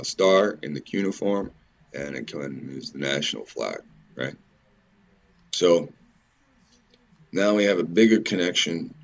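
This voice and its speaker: A man's voice: deep voice, highs cut off. A man hosting an informal, impassioned commentary, ranting with repetition, rhetorical questions and swearing. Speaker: host of a religious and historical commentary show.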